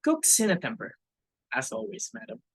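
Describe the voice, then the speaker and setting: A woman's voice: very deep voice, huge pitch swings, moderate pace, full character voice. A woman playing a formal, deferential household servant in an amateur reading of a stage comedy.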